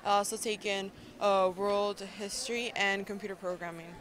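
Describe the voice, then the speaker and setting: A young woman from Mexico, a native Spanish speaker, giving earnest, steady answers as a student in an interview. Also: mid-range voice, normal speed. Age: young adult. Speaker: graduating high school student.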